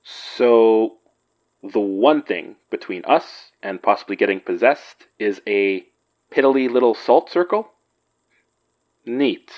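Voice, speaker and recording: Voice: mid-range voice, expressive, slow pace. Sardonic skeptic played by an actor, dry sarcasm, somewhat muffled horror podcast sound. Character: sardonic, skeptical companion at a séance. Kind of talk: horror audio drama acting.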